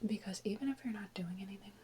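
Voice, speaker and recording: A woman whispering softly and close to the microphone in an informal, rambling ASMR chat with unhurried pauses. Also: mid-range voice. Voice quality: soft unvoiced whisper. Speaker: ASMR video creator. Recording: close whisper with faint background noise.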